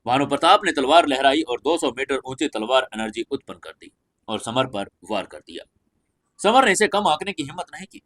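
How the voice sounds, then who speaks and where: A man's voice: mid-range voice, fairly bright tone. A man reading a scripted fantasy audio story as narrator, with emphatic, dramatic storytelling delivery.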